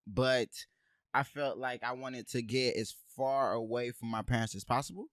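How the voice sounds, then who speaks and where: A young man from Haiti tells stories with lots of energy on a casual podcast, dropping "bruh" and "man" all the time. His voice is close-miked and dry, with a mid-range pitch. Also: normal speed, dry recording. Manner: animated storyteller, says "bruh" and "man" a lot. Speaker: podcast co-host. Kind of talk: casual chat.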